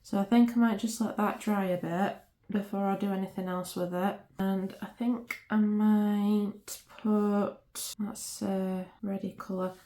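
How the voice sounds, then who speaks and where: Woman, slightly croaky, chatty, mutters to herself, casual craft vlog, roomy echo. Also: medium pitch, flat delivery, unhurried, warm tone. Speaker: resin craft channel host. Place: home craft workspace.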